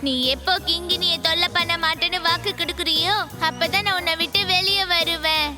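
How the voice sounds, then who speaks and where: Tiny cartoon ant, very high childlike voice, bold, defiant, music behind. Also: female voice, flat delivery, a little fast. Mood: firm, bold. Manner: confident, reassuring, challenging.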